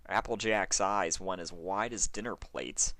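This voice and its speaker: A man's voice: deep voice, a little slow, sharp tone, no echo. A man with a sore throat reading fan fiction as a scripted story narration.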